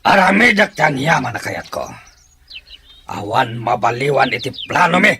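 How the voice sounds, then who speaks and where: Stern, short-tempered father and raider, man acting, animated pitch, amateur drama, noisy outdoor sound. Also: moderate pace, close to an everyday voice. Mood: angry, commanding. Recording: noisy outdoor recording with birdsong.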